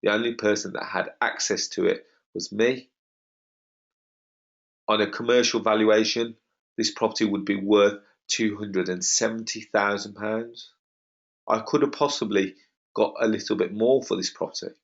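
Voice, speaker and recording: A slightly croaky man pitches deals to a webinar audience with confident, salesy emphasis. His voice sits fairly low. The call audio is dull and lo-fi. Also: steady tone, normal speed. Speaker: webinar host. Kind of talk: online property webinar talk.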